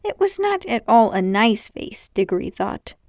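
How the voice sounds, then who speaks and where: Animated woman reading a children's story. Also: on the high side, moderate pace, vocal fry, no echo, studio quality. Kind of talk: read-aloud children's story narration.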